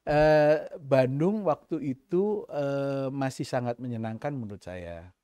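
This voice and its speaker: A middle-aged man from East Java with a faint East Javanese accent, talking thoughtfully in a podcast interview, pausing often with fillers and restarts. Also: medium pitch, warm tone, clean tone, dry recording, clean studio sound. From East Java, Indonesia. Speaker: guest, senior finance official.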